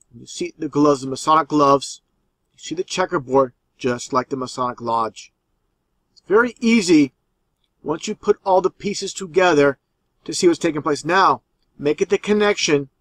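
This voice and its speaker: Higher-voiced man explaining in a dry recording. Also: dry recording. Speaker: narrator. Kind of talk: explanatory conspiracy commentary.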